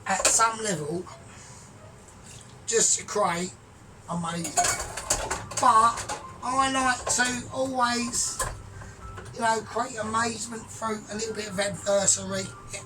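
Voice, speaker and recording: A man talking through his cooking in a rambling, grandiose, sweary way, often trailing off quiet. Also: high-pitched, slow, pauses often, background noise. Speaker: home cook vlogger. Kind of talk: casual cooking vlog. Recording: distant mic with music behind.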